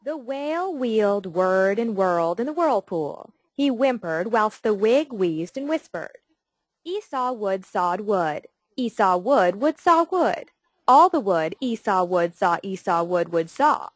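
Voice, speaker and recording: A woman reading tongue twisters as a speech exercise, articulating each word crisply and carefully. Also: mid-range voice, animated, unhurried, no room sound.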